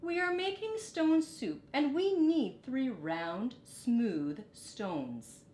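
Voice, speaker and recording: A woman voicing a wise, kindly old male monk from a storybook in a measured, gently emphatic way. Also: sits high, expressive, voice pushed up, heavily acted. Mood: gently instructive. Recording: roomy echo, faint background noise.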